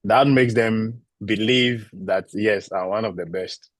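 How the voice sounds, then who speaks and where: A man from Ghana with a Ghanaian English accent, giving measured interview answers about teaching. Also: on the low side, dry recording. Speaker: international PhD student and teacher.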